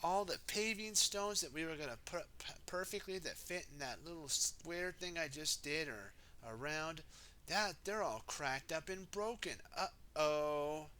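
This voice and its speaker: Man putting on an excitable, bright-voiced woman builder. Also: fairly high pitch, a little slow. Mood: dismayed. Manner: exclaiming, repeats words. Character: excitable, encouraging female builder in a children's story.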